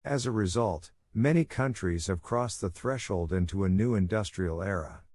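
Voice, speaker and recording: A man reading scripted practice passages aloud in a measured, formal manner. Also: low voice, a little mellow, no room sound, studio quality. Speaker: narrator of exam practice passages. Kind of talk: scripted read-aloud narration.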